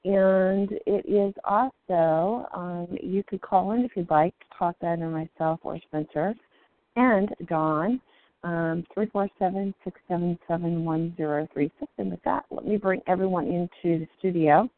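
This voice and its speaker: A woman hosting a live indie music show, raspy from the flu, talking haltingly with many ums. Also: fairly low pitch. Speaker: radio show host. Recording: tinny phone-like line.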